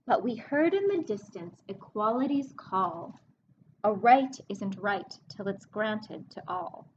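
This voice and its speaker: A woman librarian reading a picture book aloud to children, expressive and explanatory, pausing to speak to her young listeners. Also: mid-range voice, highs cut off, slight background noise. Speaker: children's librarian hosting story time.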